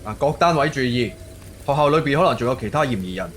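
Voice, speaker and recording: Male actor as police officer, Cantonese accent, quick clipped orders, commanding, noisy background, radio play. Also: medium pitch, animated, pitched above the performer's own voice, lightly acted. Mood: commanding, alert. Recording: clear background noise with clicks.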